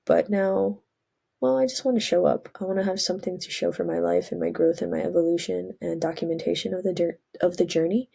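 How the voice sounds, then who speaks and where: A woman's voice: fairly low pitch, vocal fry, dark tone, husky. A woman, congested from a cold, rambling earnestly in a casual spiritual vlog monologue. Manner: rambling, earnest, self-correcting. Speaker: vlogger.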